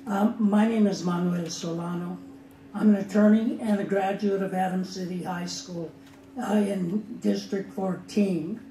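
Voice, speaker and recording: A man whose first language is Spanish, an attorney giving earnest public testimony at a hearing, halting now and then to restart. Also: high-pitched, steady tone, dark tone. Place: hearing room. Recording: echoey room with faint background noise.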